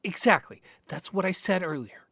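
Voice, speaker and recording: A man's voice: high voice, lively intonation, normal speed, a bit bright, slightly rough, no room sound, full character voice. An actor playing a nervous, sarcastic young man who talks in slang and swears, in naturalistic audio drama. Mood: subdued, wry.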